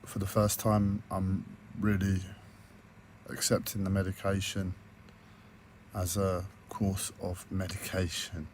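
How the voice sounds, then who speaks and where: A man with a croaky, hoarse voice, talking hesitantly with many fillers and restarts in a personal video diary recorded on a tablet. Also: low-pitched, level tone, slow pace, a little mellow, slight background noise. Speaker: vlogger.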